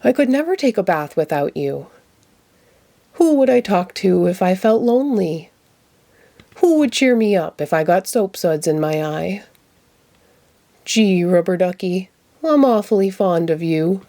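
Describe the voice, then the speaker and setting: A woman's voice: on the low side, animated, measured pace, pauses often, warm tone. A woman voicing a naive, affectionate young boy puppet from a children's storybook in an earnest, exclaiming way. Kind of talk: children's storybook read-aloud.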